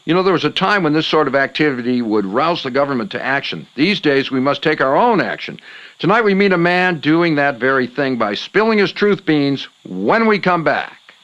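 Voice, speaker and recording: An actor playing a showy, irritable late-night conspiracy radio host with emphatic patter. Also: male voice, on the high side, big pitch swings, normal speed, a bit bright, highs cut off. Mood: showy, knowing. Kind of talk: talk radio patter and headlines.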